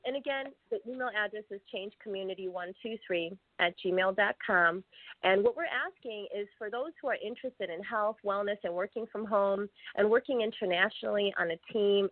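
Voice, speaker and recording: Woman, mid-pitched voice, promotional pitch, dry sound, phone-line audio. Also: no room sound. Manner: slow, careful over numbers and details. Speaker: product presenter. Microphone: call-in line. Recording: tinny phone-like call audio.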